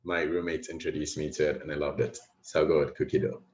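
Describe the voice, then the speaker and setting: A young man from Ghana, a medical student introducing himself on a panel in a friendly, relaxed way. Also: extremely low pitch, quick pace. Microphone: video call. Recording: roomy, dull call audio.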